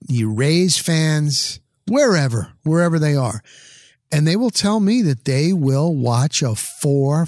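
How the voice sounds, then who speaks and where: Chatty middle-aged man, slightly high voice. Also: a little mellow, no echo, clean studio sound. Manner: chatty, rambling, emphatic repetition. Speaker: sports broadcaster. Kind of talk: casual sports chat.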